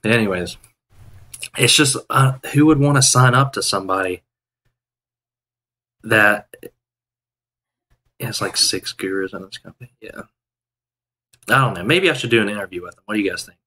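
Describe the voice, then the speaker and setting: A man's voice: on the low side, normal speed, pauses often, sharp tone, slightly rough. A man in his thirties chatting casually on a day-trading live stream from home, rambling with restarts and fillers. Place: home room, indoors. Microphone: headset.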